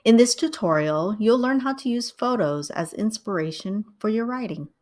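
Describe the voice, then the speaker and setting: A middle-aged woman, born in Vietnam and raised in the United States, calmly narrating a scripted writing tutorial. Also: medium pitch, normal speed.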